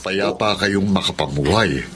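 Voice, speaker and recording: A man's voice: low-pitched, a little slow, a bit bright, a little hiss or hum. An actor playing a polite, confident, well-meaning adult man in a Filipino radio drama. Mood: encouraging.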